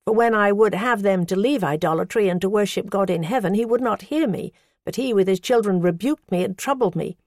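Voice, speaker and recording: A woman's voice: fairly quick, darkish tone, no room sound, clean studio sound, close to an everyday voice. A woman voicing a defiant, pious woman who scolds her accusers with rising passion. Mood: earnest. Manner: impassioned, scolding, rising pitch.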